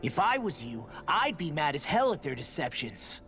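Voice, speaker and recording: A man's voice: on the high side, sing-song, moderate pace, fairly bright tone. An actor voicing a blunt, hot-tempered young male fighter in a game. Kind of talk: video game voice acting. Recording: game audio with faint music.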